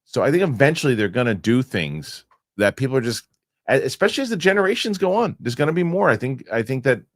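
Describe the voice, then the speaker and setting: A man hosting a casual podcast chat, animated and rambling, often restarting his sentences. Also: medium pitch, fast talker, no room sound, studio quality. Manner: animated, rambling, self-correcting with repeated starts. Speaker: show host.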